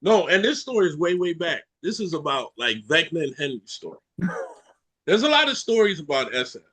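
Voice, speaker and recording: A man's voice: fairly high pitch, brisk, breathy edge, low-quality recording. A man co-hosting a casual podcast, telling a funny story animatedly and acting out other people's lines. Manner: animated storyteller who acts out other people's lines and laughs mid-sentence. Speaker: podcast co-host.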